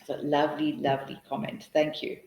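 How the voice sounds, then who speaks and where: A woman's voice: sits low, monotone, fairly quick, vocal fry, a little mellow, echoey room, rough recording. A South African woman hosting a live teaching webinar, answering questions in a chatty, encouraging way with many restarts.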